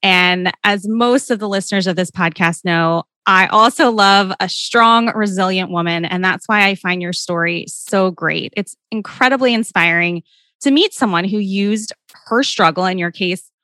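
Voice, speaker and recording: A woman's voice: mid-range voice, normal speed, sharp tone, no echo. A woman hosting a parenting podcast, bubbly and enthusiastic, with a soothing voice. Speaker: podcast host.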